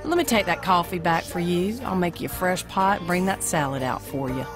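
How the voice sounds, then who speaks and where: A woman's voice: on the low side, animated, a little fast, lightly acted. A woman playing a brassy, folksy small-town diner waitress in an amateur audio play, with blunt, informal talk. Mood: chatty, folksy. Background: music.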